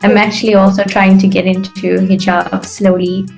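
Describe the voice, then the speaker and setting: A South African woman in her thirties chatting warmly with a stranger on a video call, friendly, with lots of fillers. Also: mid-range voice, little pitch movement, moderate pace, vocal fry, faint music behind. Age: 30s. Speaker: participant. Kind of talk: casual video call chat.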